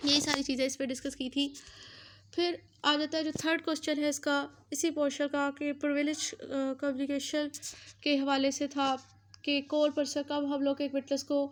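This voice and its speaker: A woman with a Pakistani accent teaches as a law lecturer. Her voice is high and stays on a narrow, even line. She explains step by step, circling back over points and dropping in English terms. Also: moderate pace. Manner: repetitive, uses English legal terms mixed in. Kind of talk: explanatory lecture, recapping legal articles.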